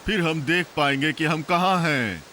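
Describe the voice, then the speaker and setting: Bright, animated, puzzled cartoon sidekick. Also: male voice, on the high side, normal speed, a little hiss or hum. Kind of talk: Hindi cartoon dub.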